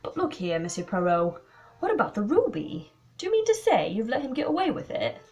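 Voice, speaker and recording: A woman's voice: fairly high pitch, huge pitch swings, fairly quick, a little mellow, highs cut off, soft background music, pitched above the performer's own voice. Pushy boyish youth, read by a woman; insistent, raised voice. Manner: insistent questioning. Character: eager boyish young man, frowning and pushy.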